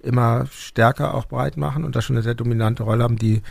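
A man hosts an interview and speaks hesitantly, searching for his words through fillers and restarts. His voice has a gravelly texture. The sound is dry, with no room echo. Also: medium pitch, steady tone, quick pace, a little mellow. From Germany. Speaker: podcast host. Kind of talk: interview conversation.

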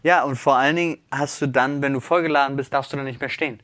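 A man's voice: mid-range voice, steady tone, quick pace, fairly bright tone, no echo. A man chats casually as a co-host. He thinks aloud in loose, colloquial digressions, recorded close and dry with hardly any room sound. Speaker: podcast co-host. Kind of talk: casual chat between friends.

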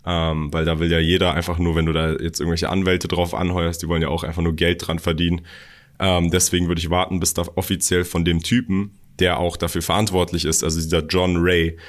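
A man's voice: sits low, completely flat delivery, fairly quick. A man who grew up in Germany, talking casually as a podcast co-host.

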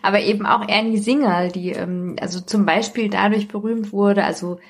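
A woman's voice: medium pitch, steady tone, moderate pace, husky. A woman chatting casually as a podcast guest, recounting things in a loose, tentative, rambling way.

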